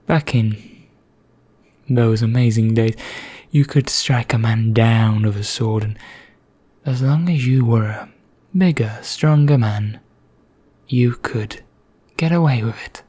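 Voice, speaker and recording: A man playing an ancient, haughty vampire knight in an ASMR roleplay, speaking softly and half in whispers, formal and measured with deliberate pauses. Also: medium pitch. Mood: wistful, disdainful. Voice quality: soft, breathy, half-whispered, husky. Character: ancient male vampire knight, haughty, languid, darkly courteous.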